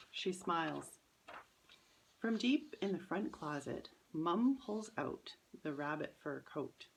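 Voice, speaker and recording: A woman's voice: sits low, vocal fry, low-quality recording. A woman reading a children's story aloud as its author, in scripted first-person narration.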